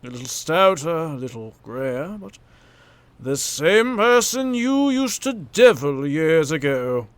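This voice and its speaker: A man's voice: a little high, very animated, slow pace, smooth, no room sound. A man playing a stout, elderly British family solicitor in an old-time radio drama, hearty, teasing and knowing. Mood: genial, knowing.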